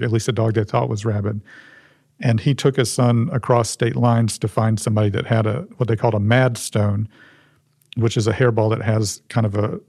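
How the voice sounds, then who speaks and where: A man, showing and explaining a museum object in a halting, restarting way. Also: mid-range voice, mellow, dry recording, clean studio sound.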